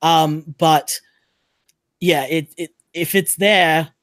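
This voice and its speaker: A podcast panelist speaks in a medium-pitched voice during a casual discussion. The delivery rambles and repeats itself, leaning emphatically on key words. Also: steady tone, very slow, fairly bright tone.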